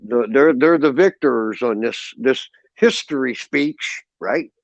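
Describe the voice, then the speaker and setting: A man's voice: mid-range voice, takes it slowly, gravelly, no room sound, lo-fi sound. A man in his fifties, calling into a livestream and ranting about politics in a preachy, emphatic way. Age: 50s. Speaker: caller on a livestream. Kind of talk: casual political rant.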